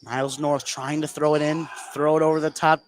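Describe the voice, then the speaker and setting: An American male play-by-play announcer with a slightly high voice calls a live basketball game. He follows the action in running phrases with an excitable delivery, as on sports radio. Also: a little fast, a little hiss or hum.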